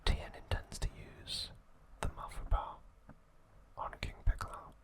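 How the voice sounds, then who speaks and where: A man narrating trivia for ASMR in a soft, breathy whisper, reading deliberately in short broken phrases.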